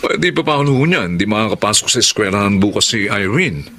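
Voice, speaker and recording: A man's voice: lowish voice, lively intonation, a little fast, pushed and bright, a little hiss or hum. A man playing a dutiful but doubting adult son in a radio drama, respectful and questioning. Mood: respectful, concerned.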